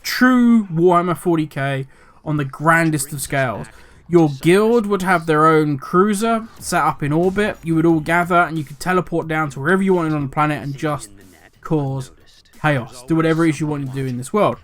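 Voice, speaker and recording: Older male gaming host, slightly raised pitch, rambling and grumpy in tone. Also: normal speed, a bit bright. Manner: talkative, rambling, self-correcting; cynical, grumbling. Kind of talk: casual commentary video.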